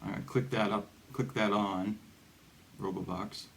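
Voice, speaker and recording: A man presenting a hobby gear tutorial in a casual, unscripted way, with halting, rambling explanations. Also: fairly low pitch, flat delivery, creaky, a bit bright. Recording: noticeable background noise with clicks.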